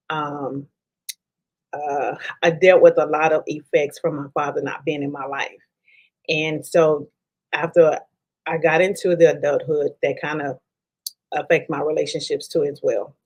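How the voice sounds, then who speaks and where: A woman in her forties from Dallas, Texas, with a Texan accent, speaking as a podcast guest in a halting way with many ums and restarts. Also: low-pitched, darkish tone, highs cut off. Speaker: podcast guest, life coach. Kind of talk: conversational interview.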